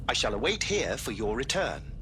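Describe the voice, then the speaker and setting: An actor voicing a formal, polite artificial-intelligence chauffeur in a video game. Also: male voice, medium pitch, very animated, moderate pace, bright, forceful tone, a little hiss or hum. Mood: courteous, calm. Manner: formal, courteous.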